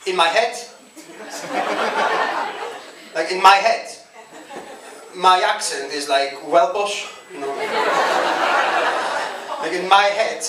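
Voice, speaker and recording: A man's voice: high voice, takes it slowly, pauses often, slight background noise. A young man with a strong Greek accent doing a stand-up comedy set in a self-mocking, chatty way. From Greece. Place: comedy club stage. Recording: echoey club from far off, loud ambience. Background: audience laughter.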